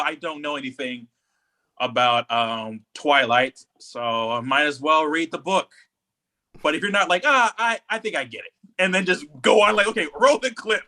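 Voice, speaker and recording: A man with a bright, forceful voice pitched a bit high, chatting as a podcast co-host. He talks in an opinionated, rambling way and often circles back to repeat a phrase. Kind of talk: casual chat.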